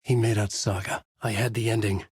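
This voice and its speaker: A man playing a brooding, haunted novelist in a video game, narrating his horror story in a grave, measured, dramatic way with a husky voice. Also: fairly low pitch, flat delivery, no room sound, clean studio sound. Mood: haunted, intent. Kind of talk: scripted noir horror narration, inner monologue.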